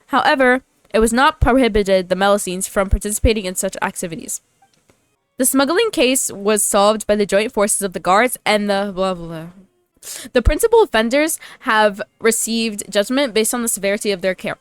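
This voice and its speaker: A woman's voice: mid-range voice, animated, moderate pace, clean tone, no echo. A woman gaming YouTuber giving casual, informal playthrough commentary in a chatty way. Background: faint game music.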